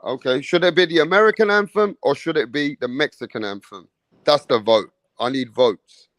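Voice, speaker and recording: Brash livestream host. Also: male voice, on the high side, normal speed, a bit bright, no room sound. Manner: brash, swears, pushes viewers to vote. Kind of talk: casual livestream chat.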